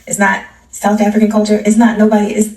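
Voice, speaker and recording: American woman, sassy and emphatic, soft dark tone, recorded in an echoey room away from the mic. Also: mid-range voice, monotone, quick pace. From United States. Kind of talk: casual opinion to camera. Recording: echoey, distant microphone.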